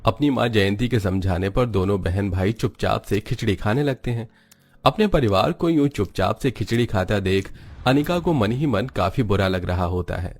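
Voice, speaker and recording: A man's voice: fairly low pitch, lively intonation, normal speed. A man narrating a Hindi cartoon story in a scripted storytelling style.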